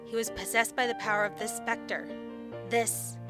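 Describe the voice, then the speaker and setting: A woman's voice: fairly high pitch, flat delivery, moderate pace, natural voice. A woman playing a blunt, decisive young female leader in an audio drama. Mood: grim. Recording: music clearly behind.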